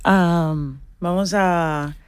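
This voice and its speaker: A woman's voice: lowish voice, fairly even intonation, extremely slow, smooth, no room sound, clean studio sound. A woman from Cuba with a Cuban accent, giving casual, slightly hesitant interview answers as a musician. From Holguín, Cuba. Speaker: musician, singer, interview guest.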